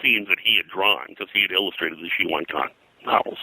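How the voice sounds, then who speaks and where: Older male interview guest, low forceful voice, rambling anecdotal historian. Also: fairly quick, gravelly, dry recording, low-quality recording. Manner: rambling, digressive, hesitant.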